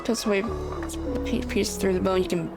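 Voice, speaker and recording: A woman's voice: medium pitch, steady tone, normal speed. Hesitant woman streamer over game music. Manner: hesitant, thinking aloud. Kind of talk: casual playthrough commentary, reading choices aloud.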